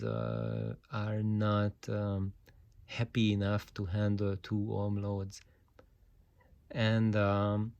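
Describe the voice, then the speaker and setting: Man, very slow pace, gravelly low voice, halting technical explainer, pauses mid-sentence. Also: a little mellow, no echo. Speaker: presenter answering audio questions.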